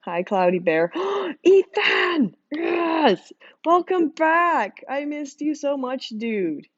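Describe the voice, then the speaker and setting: A young woman with a hoarse, worn-out voice chatting casually with her stream viewers, laughing often and rambling with lots of fillers. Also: high voice, big pitch swings, extremely slow, dry recording. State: hoarse, losing voice from screaming. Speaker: livestreamer drawing art. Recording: dull lo-fi dry mic sound.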